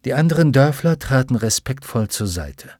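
A male audiobook narrator with a mid-range voice, reading a story with steady, even pacing. The recording is close and dry, with no room sound. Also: medium pitch, normal speed, no room sound. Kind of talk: scripted narration.

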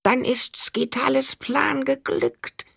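A man voicing a scheming male dwarf in an audiobook, with a squeaky, gravelly falsetto and a sly, wheedling manner. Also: steady tone, slow pace, bright, forceful tone, no room sound, clean studio sound, heavily acted. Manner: wheedling, unruffled.

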